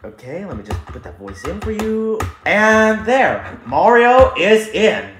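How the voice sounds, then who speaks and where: A showy toy squid inventor acted out by a performer presents gadgets in a homemade puppet skit. The delivery swings widely in pitch, in an echoey room with faint noise. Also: very animated, a little slow, fairly bright tone.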